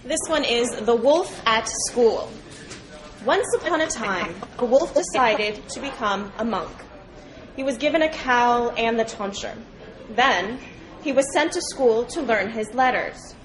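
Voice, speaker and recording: Slow-paced higher-voiced woman reading fables. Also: expressive, slight background noise, poor audio. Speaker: reader at a public read-aloud program. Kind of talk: scripted storytelling of animal fables.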